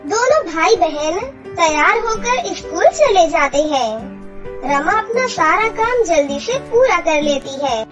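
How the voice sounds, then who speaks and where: A woman's voice: extremely high pitch, lively intonation, takes it slowly, lo-fi sound. A woman reading scripted narration for a children's story. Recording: clear music behind the voice. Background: children's music.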